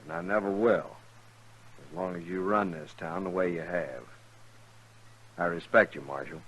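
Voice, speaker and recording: A man's voice: low voice, normal speed, fairly bright tone, husky, full character voice, poor audio. A man acting a proud, plain-spoken gunfighter with folksy cowboy talk in a 1950s radio western. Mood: steady, defiant.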